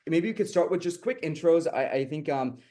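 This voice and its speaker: A man's voice: a little high, expressive, brisk, dark tone. A man moderating an online finance panel, rambling with lots of fillers and restarts.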